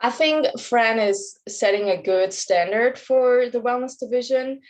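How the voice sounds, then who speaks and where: A woman's voice: fairly high pitch, measured pace. A young woman from Germany with a German accent, chatting casually as an interview guest and athlete. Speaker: interview guest, competitive bodybuilder.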